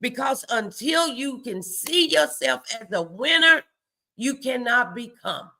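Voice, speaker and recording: A woman's voice: a little high, lively intonation, unhurried, creaky, bright, forceful tone, low-quality recording. A woman hosting a faith-based motivational livestream, speaking emphatically and repeating key phrases to exhort her listeners.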